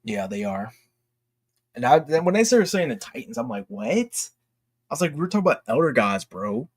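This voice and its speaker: A man's voice: medium pitch, big pitch swings, normal speed, slightly rough, dry recording, clean studio sound. A man hosting a casual livestream, chatting informally and emphatically with strong opinions and slang like dude and bro. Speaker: livestream host. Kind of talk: casual livestream chat about games.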